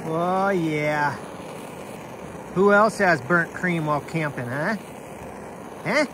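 Man, 30s, unhurried outdoor vlogger, slightly high voice, relaxed chatty delivery. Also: background noise. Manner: relaxed, savoring food, chatty asides to viewers. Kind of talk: casual chat to camera. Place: outdoors at a snowy winter campsite at night.

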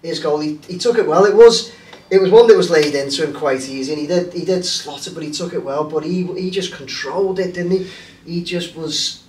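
High-voiced rambling male host. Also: brisk, a little mellow. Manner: rambling, hesitant, lots of fillers. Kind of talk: casual chat. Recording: roomy, distant mic, faint hiss.

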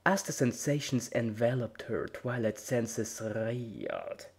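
A man narrating a dramatic reading of fan fiction, measured, voicing each character's dialogue. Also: medium pitch, very animated, creaky, slightly rough. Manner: measured storytelling with voiced dialogue.

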